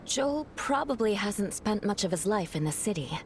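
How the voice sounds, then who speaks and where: A reserved, aristocratic female knight captain, played by a woman, composed and formal in every phrase. Her voice sits at an ordinary middle pitch, with faint background noise. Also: big pitch swings, moderate pace, natural voice. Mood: reflective. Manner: formal, composed, slightly aloof.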